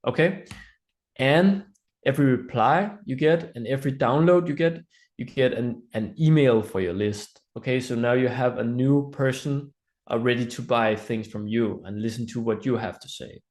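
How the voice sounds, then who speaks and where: A man's voice: medium pitch, darkish tone. A man with a Danish accent presents a live online training in an informal, explanatory way, often checking in with listeners. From Denmark.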